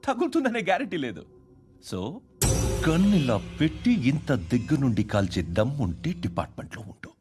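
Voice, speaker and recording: An actor playing an intimidating, sardonic senior police officer in a film, curt and commanding. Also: male voice, a little high, huge pitch swings, fairly quick. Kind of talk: film dialogue. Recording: film music behind.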